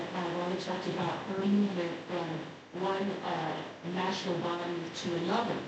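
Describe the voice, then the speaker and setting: Halting woman moderator in an echoey hall. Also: medium pitch. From Southern United States. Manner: hesitant, many ums, searching for words. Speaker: panel moderator. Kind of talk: moderating a panel discussion. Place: large lecture hall. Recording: echoey hall, speaker far from mic, clear background noise.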